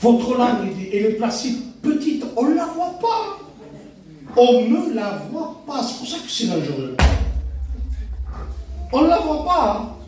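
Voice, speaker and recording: A man preaching a sermon with emphasis, repeating phrases and swinging between loud cries and hushed asides. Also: extremely high pitch, big pitch swings, a little slow, long pauses, faint music behind. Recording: very echoey hall, mic from far off.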